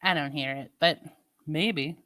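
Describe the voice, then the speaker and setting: A woman with a St. Louis accent in casual chat with viewers, talking in a slow, drawling way. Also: deep voice, a bit bright. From Midwestern United States. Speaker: streamer chatting with viewers.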